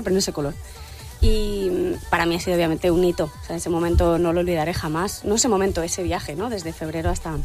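Brief: woman, singer guest, open and thoughtful, mid-pitched, faint music under her. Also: darkish tone. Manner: candid, reflective, quick to laugh. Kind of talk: radio interview chat. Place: radio studio.

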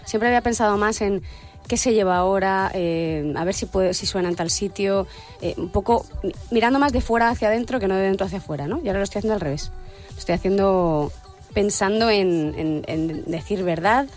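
A woman's voice: mid-range voice, a little mellow, faint music behind. Candid woman singer on radio. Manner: candid, reflective, quick to laugh. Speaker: singer guest. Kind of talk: radio interview chat. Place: radio studio.

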